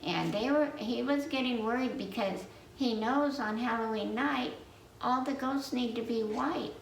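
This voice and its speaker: A woman telling a children's story from memory in a halting, meandering way, pausing to put questions to her listeners. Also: fairly high pitch. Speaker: library storyteller. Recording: roomy, distant mic with faint noise.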